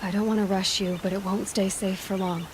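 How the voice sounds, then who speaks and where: A woman's voice: medium pitch, level tone, fairly quick, lightly acted. A woman playing a blunt, defiant young heroine in a video game. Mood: matter-of-fact. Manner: blunt, challenging. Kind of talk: video game dramatic dialogue. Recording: clearly noisy game ambience.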